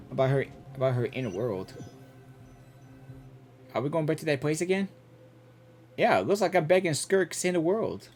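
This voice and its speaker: A streamer reacts casually to a story game and reads bits of dialogue aloud. The pitch is middling but moves around a lot. Faint game music plays behind.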